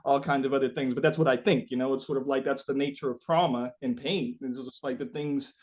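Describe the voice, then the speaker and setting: A man's voice: medium pitch, steady tone, a little fast. A man being interviewed as a musician, talking casually and reflectively, thinking aloud in a rambling, philosophical way.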